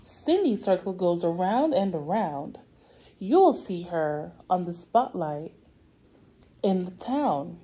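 A woman's voice: fairly low pitch, very animated, slow pace, warm tone, slightly rough, poor audio. A young woman speaking as a preschool teacher, reading aloud to small children in simple, repeated, stretched-out phrases. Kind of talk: children's read-aloud lesson. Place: indoor classroom.

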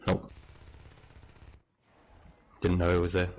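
A man's voice: sits low, monotone, slow, darkish tone. A man giving terse, clipped callouts to teammates over game voice chat. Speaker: gamer on a team. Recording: noisy voice chat, gunfire and typing. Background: game gunfire, keyboard typing.

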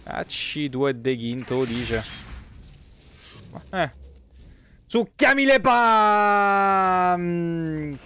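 Male game streamer, thirties, fairly high voice, excitable casual commentary, clear game noise behind. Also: very slow, pauses often. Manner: animated, swearing, exclamatory. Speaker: live streamer. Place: indoor room, webcam stream. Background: game effects and ambience.

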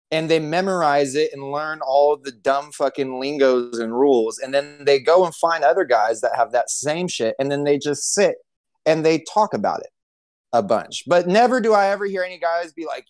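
A man's voice: on the high side, dry recording. A man co-hosting a podcast in casual comedic chat, with a sarcastic, profane, rambling way of talking. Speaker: podcast co-host.

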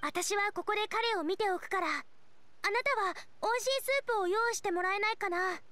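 A woman playing a cheerful, eager teenage girl chef in an anime game, with energetic, expressive and friendly delivery. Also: very high voice, fairly quick, a little hiss or hum. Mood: concerned, urgent. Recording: studio voice over game music.

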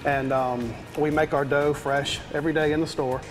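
Man explaining pizza steps, halting. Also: on the high side, level tone, music in the background, low-quality recording. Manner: hesitant, repeats words while explaining. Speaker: pizza restaurant manager and guest. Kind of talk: casual cooking demo talk. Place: TV studio kitchen set.

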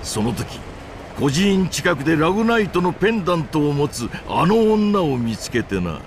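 An actor playing a haughty, fanatically loyal imperial general with a gravelly voice and grand, pompous phrasing in a Japanese video game. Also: male voice, a little high, very animated, normal speed, slight background noise. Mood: gloating. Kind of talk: theatrical Japanese video game villain acting.

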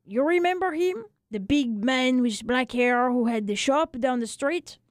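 A woman voicing a small old Frenchman, a weary, talkative barber of wry philosophy, exclaiming and lamenting in drawn-out phrases for a storybook reading. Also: high-pitched, lively intonation, no echo, clean studio sound. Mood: chatty, wistful.

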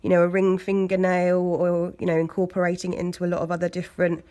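A woman with an English accent, hoarse from a cold, chatting casually as she talks through a nail art tutorial. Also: fairly low pitch, monotone, fast, warm tone. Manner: chatty, loose, lots of fillers. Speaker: nail technician. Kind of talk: step-by-step tutorial narration.

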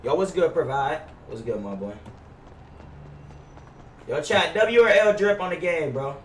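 A man's voice: on the high side, lively intonation, unhurried, distant mic. Brash young American man, roomy sound. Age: young adult. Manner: brash, slangy, heavy swearing. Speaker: game streamer. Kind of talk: casual gaming livestream chat with role-play banter. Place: indoor room at streaming setup. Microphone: gaming headset. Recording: roomy, off-mic, faint noise.

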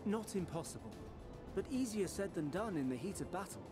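A man's voice: high-pitched, very animated, brisk, music in the background. A man playing a dry, sceptical fighter in a fantasy game, wry and speaking in clipped phrases.

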